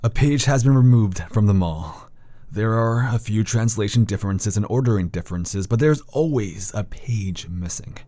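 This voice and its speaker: A learned, guarded university doctor voiced by a male actor in a horror audio drama. The voice is low and dark, measured and grave, recorded dry with no room around it. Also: animated, no room sound, lightly acted. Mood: secretive.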